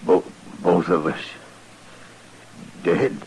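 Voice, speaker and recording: An actor playing a mortally wounded frontier farmer in a radio western, weak, afraid and gasping in broken phrases. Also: male voice, low-pitched, very slow, a little mellow, exaggerated voice. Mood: pained, failing. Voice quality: gravelly, broken. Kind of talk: old-time radio western acting. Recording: noisy old radio recording.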